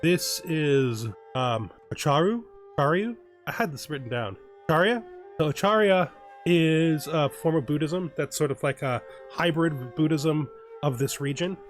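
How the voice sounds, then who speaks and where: A man giving relaxed let's play commentary on a strategy game, thinking aloud and often restarting his sentences. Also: on the high side, a little slow, faint music behind. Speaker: gaming YouTuber.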